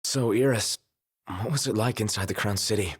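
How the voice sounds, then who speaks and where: A man's voice: fairly low pitch, moderate pace, husky. A man playing a laid-back, reticent young prince in a video game cutscene. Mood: low-key, awkward.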